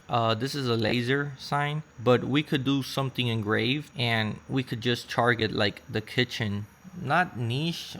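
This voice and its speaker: A man's voice: mid-range voice, a bit bright, a little hiss or hum. A man whose first language is Spanish, vlogging casually about his online shop and walking through his screen, often correcting himself mid-sentence. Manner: rambling, self-correcting, uneven pace. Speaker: Etsy seller vlogger. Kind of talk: casual vlog with screen walkthrough.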